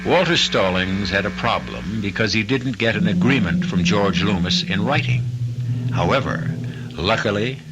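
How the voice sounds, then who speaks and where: A man hosting and narrating a radio mystery, theatrical and deliberate, with dramatic pauses. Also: fairly low pitch, animated, dull audio, noisy background. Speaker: host and narrator. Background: music.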